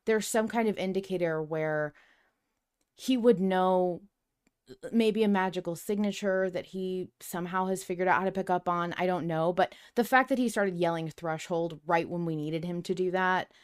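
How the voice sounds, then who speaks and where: A woman with a fairly low, smooth voice chats as a podcast co-host. She is effusive and emphatic, swearing freely as she talks like a devoted fan. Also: normal speed. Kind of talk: casual fan discussion of an audio drama.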